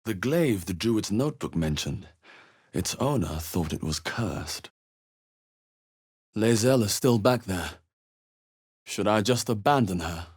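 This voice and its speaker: A male video game adventurer with a fairly low, husky voice. He is observant and wry, musing in a measured, understated way, yet his pitch moves in lively turns. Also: full character voice. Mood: musing, wary. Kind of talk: video game voice acting, short reactive lines.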